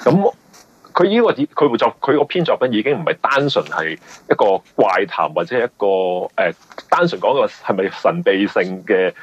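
A man with a Hong Kong Cantonese accent speaks in a low, lively, swooping voice with a rough husk to it. He explains things haltingly in an interview, doubling back on his words. The radio recording sounds somewhat muffled. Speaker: novelist guest.

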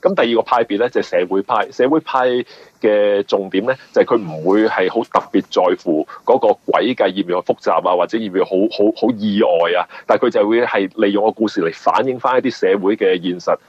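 A low-voiced man with a Hong Kong accent, speaking as an interview guest. His voice is husky and rough, and he explains things conversationally, stopping and repeating words as he goes. The sound is dull and lo-fi. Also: a little fast, no echo. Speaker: mystery novelist being interviewed.

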